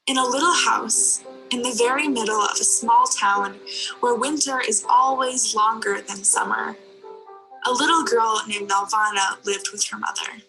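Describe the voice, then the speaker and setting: Female storybook narrator, bright and fairly high, reading for young children over music. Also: measured pace, rough recording. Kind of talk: children's story read-aloud with character dialogue.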